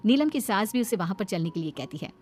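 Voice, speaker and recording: A woman's voice: medium pitch, expressive, fast, darkish tone. An Indian woman narrating a scripted bedtime story as a storyteller. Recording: background music under the voice.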